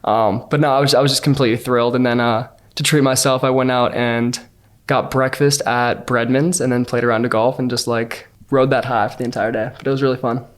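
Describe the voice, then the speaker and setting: A man's voice: mid-range voice, little pitch movement, fairly quick. A young man around twenty from New Jersey, telling an upbeat personal story in a casual way. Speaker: scholarship student.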